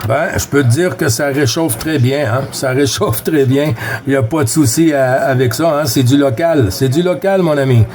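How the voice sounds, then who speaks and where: A man's voice: mid-range voice, normal speed, a little mellow. A man from Quebec with a Quebec French accent, chatting casually as a CB operator and repeating his phrases. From Quebec, Canada. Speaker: CB radio operator. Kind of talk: casual radio chat.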